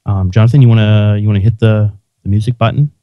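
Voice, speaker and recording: Low-voiced man hosting a podcast. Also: steady tone, fast talker, no echo. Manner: rambling, restarts sentences. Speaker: podcast host. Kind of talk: casual podcast chat. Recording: dull lo-fi sound.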